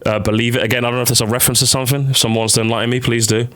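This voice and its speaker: A man's voice: mid-range voice, flat delivery, rapid-fire, a bit bright. A man giving rambling live stream commentary on game voice lines in a slangy, sarcastic, mock-dramatic manner.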